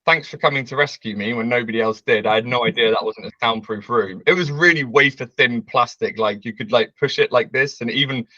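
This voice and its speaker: A man, telling a story as a guest on a video call, loud and brash, laughing often. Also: medium pitch, a little fast, hardly any pauses, dry recording, muffled recording. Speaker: interview guest. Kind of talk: casual storytelling. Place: bright home room with large windows.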